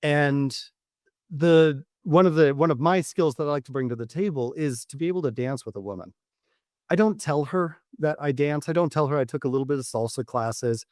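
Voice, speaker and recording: A man speaking as a dating coach and podcast host, giving instructional advice in deliberate, measured phrasing with repeated words. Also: on the high side, darkish tone, dry recording, clean studio sound. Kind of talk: instructional podcast monologue to camera.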